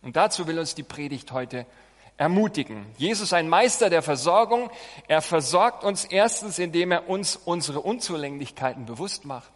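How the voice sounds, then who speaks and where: A man preaching as a pastor to a congregation, with a measured, emphatic delivery. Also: on the high side, expressive. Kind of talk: sermon with scripture reading. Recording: long hall reverb.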